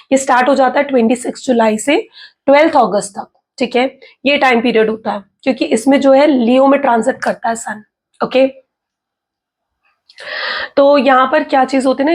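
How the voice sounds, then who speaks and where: Hoarse, husky Indian woman tarot reader. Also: high voice. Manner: chatty, mixes in English, frequent "okay" and "theek hai" check-ins. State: hoarse from a sore throat. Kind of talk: casual explanatory talk to viewers.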